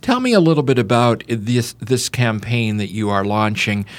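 A man's voice: medium pitch, expressive, normal speed. A man hosting a radio talk show, introducing and questioning a guest in a conversational broadcast style. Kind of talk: conversational radio interview, partly scripted introduction.

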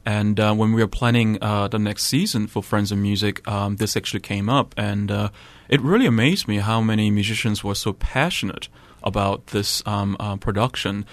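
Brief: young man, low voice, hesitant, dry studio sound. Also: fairly even intonation, normal speed, no echo. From South Korea. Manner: hesitant, many ums and uhs. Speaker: church musical director, studio guest. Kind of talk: radio interview answers. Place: radio studio.

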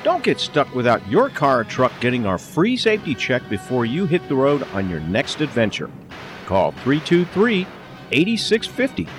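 A man's voice: medium pitch, wildly swooping pitch, moderate pace, over music. A man reading a scripted radio ad in an emphatic way. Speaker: ad announcer.

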